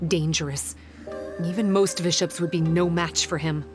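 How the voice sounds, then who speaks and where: A woman playing a calm, analytical guide in a video game, speaking in a measured, formal way. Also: on the low side, over music, close to an everyday voice. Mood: grave, measured. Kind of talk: scripted game cutscene dialogue.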